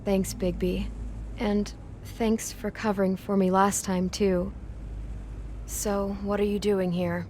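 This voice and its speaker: An earnest motel desk clerk acted by a woman in a video game, with a mid-pitched voice. She sounds hesitant and confiding, with clear ambient noise behind her. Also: little pitch movement, slow pace, darkish tone, lightly acted. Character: embarrassed, earnest married woman working a motel front desk. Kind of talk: naturalistic video game acting.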